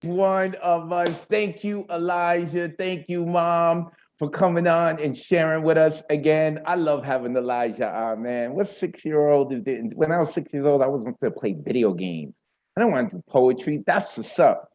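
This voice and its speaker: A man with a Bronx accent runs an online open mic over a video call. His voice sits a little high, and he talks in a hyped, slangy, friendly way. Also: moderate pace, a little mellow. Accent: New York, Bronx.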